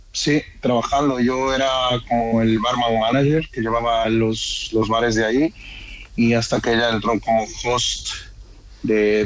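A man's voice: medium pitch, fairly bright tone, slight background noise, rough recording. Friendly man from Ecuador on a video call. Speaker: guest, bar owner. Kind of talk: casual interview over video call.

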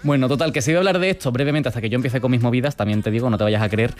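Male radio contributor, Spain accent, brisk playful chat, everyday mid-range voice, faint music under. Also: mid-range voice. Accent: Spanish, from Spain. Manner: playful, colloquial. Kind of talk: casual chatty storytelling.

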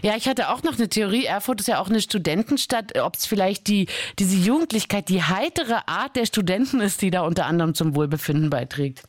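A woman from Berlin hosts a radio interview at a mid pitch. She speaks in a brisk, polished presenter style. The studio sound is dry and close. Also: lively intonation, dry recording. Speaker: radio host. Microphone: studio mic.

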